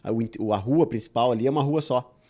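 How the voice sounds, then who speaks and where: A man's voice: mid-range voice, mellow. A man hosting a podcast, talking enthusiastically and turning to the listeners. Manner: quick, enthusiastic, addresses listeners. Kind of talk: casual podcast chat.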